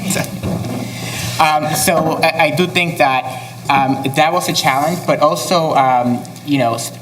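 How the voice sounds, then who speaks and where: A man's voice: a little high, measured pace, background noise. A man raised in the Bronx, speaking easily as a panelist with frequent ums. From Bronx, New York. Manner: easygoing, many ums. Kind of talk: panel discussion. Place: event hall, live panel.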